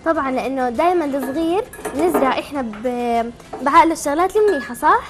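Woman, high pitch, racing pace, encouraging call-in host, chatty, music behind. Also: animated, fast talker. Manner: encouraging, asks simple questions. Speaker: TV show host. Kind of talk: chatty live call-in hosting.

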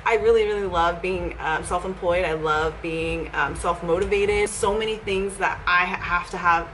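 A woman's voice: on the low side, fairly even intonation, moderate pace, fairly bright tone, a little hiss or hum, low-quality recording. A young woman chatting casually in a vlog from a small office, upbeat and often laughing as she talks. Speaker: fitness vlogger and gym owner. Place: small office with leather couch, indoors.

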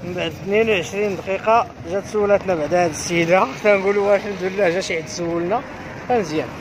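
A man's voice: sits high, noisy background. A man in his thirties with a Moroccan Arabic accent, chatting casually while vlogging from an outdoor street market on a phone with an earphone mic. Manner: chatty, friendly, fast-talking. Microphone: wired earphone mic, phone at arm's length.

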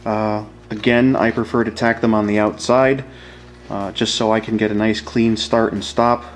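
A man's voice: lowish voice, little pitch movement, moderate pace. A man explaining a hands-on demonstration in a casual, informal way, as an instructor talking to viewers.

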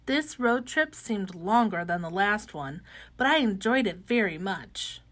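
A woman voicing a cheerful, wide-eyed young caterpillar turned butterfly in an expressive, gentle children's storybook reading. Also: mid-range voice, unhurried. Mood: gentle wonder. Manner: gentle, expressive, with excited exclamations. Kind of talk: animated read-aloud of children's picture book.

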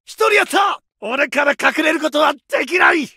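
An actor playing a fierce Japanese infantry soldier, barking aggressive combat orders in a game. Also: male voice, extremely high pitch, expressive, normal speed, creaky, sharp tone, no room sound, shouting, studio quality, heavily acted. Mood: shouting orders. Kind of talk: shouted combat barks.

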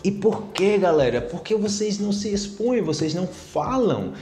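A Brazilian man in his thirties teaching a casual video lesson to camera on a lapel mic, animated and chatty, tagging his sentences with little questions. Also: sits high, normal speed, echoey room. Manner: animated, gesturing a lot with his hands; chatty, with many tag questions. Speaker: Portuguese teacher. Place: plain-walled room indoors.

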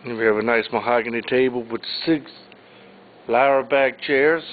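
Man listing auction items, tinny audio. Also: mid-range voice. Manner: plain, unhurried item-by-item listing. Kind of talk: informal walkthrough narration. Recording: tinny phone-like audio, faint hiss.

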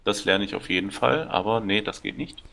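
Low-voiced man explaining game features. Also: a little fast, gravelly, a crowd in the background. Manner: rambling, explains game mechanics at length. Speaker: gameplay co-commentator. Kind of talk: casual co-op gameplay chat.

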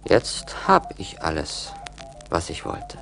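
An actor playing a rich, cold-hearted young man in a radio play, joyless, deliberate and self-important. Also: low voice, huge pitch swings, vocal fry, over music, heavily acted. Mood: weary, brooding. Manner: brooding, deliberate, haughty.

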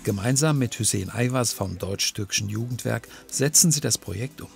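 A man reading a scripted documentary narration in a calm, measured way. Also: on the low side, gravelly. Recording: music bed behind voice-over.

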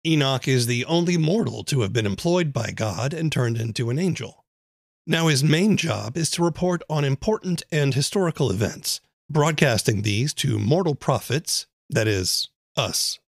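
A man's voice: medium pitch, vocal fry, dry recording, studio quality. A man hosting a podcast, delivering scripted narration and interview questions. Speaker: podcast host and producer.

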